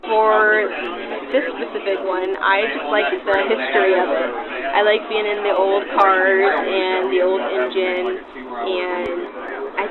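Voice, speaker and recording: A man's voice: high voice, completely flat delivery, slow. A man, a passenger, chatting casually in an interview through a vintage radio filter. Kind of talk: casual chat. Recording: tinny radio-like sound, loud crowd.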